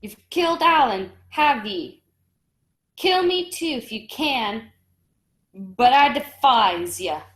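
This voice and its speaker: A woman's voice: a little high, expressive, slow, fairly bright tone. A woman playing a weary, steadfast male sailor in a radio drama, recorded with a roomy echo. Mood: defiant. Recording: roomy, echoing sound.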